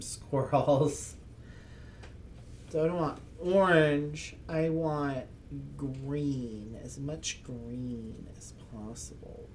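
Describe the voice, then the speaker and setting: A man talking his way through a solo board game at an unhurried pace, pausing to think and laughing softly at his own jokes. His voice sits fairly light for a man. A faint hiss of noise lies under it. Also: mid-range voice. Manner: thinks aloud, chuckling, self-mocking asides; speaks as a loud, projecting voice. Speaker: board game channel host. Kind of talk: casual solo playthrough commentary.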